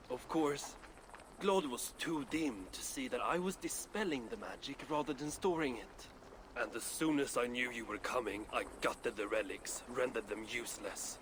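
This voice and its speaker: An actor playing a proud, foul-mouthed dwarf craftsman in a video game, gruff and sardonic. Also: mid-range voice, noisy background, close to an everyday voice. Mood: indignant, scornful. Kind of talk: cinematic video game acting.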